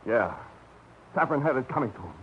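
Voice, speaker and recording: A man's voice: mid-range voice, big pitch swings, a little fast, slight background noise, natural voice. An actor playing a hot-tempered young man holding a gun, tense and threatening, in 1940s radio drama style. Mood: desperate. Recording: muffled vintage radio broadcast.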